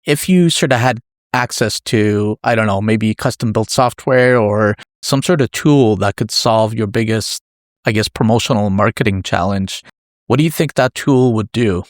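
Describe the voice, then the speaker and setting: A man hosting a podcast interview, asking conversational questions in a measured way and softening them with hedging phrases. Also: on the low side, no room sound, studio quality.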